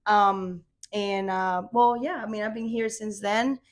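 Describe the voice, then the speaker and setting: A woman's voice: medium pitch, steady tone, measured pace. A woman in her twenties from Venezuela, a native Spanish speaker, co-hosting a casual podcast chat and laughing often. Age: 20s. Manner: laughs often, chatty and playful. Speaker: podcast co-host.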